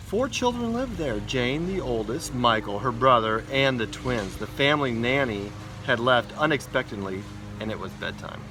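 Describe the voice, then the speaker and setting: Man reading aloud over loud outdoor noise. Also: mid-range voice. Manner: addresses young listeners informally as "guys". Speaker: elementary school principal reading to children. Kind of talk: read-aloud of a children's storybook. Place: outdoors in a backyard. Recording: outdoor noise, vehicle and ambient hum. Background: loud outdoor ambience, vehicle noise.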